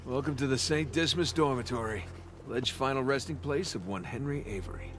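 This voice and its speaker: A man's voice: mid-range voice, a little fast, music in the background, close to an everyday voice. A man playing a wry, understated, adventurous American treasure hunter in a naturalistic video game performance. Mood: focused, wry. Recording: game ambience noise behind.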